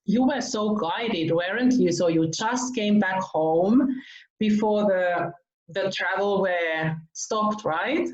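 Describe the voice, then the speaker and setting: A woman from Finland hosting an online interview, gushing with praise and laughing softly as she talks. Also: medium pitch, slow. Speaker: interview host. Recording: roomy, dull video call audio.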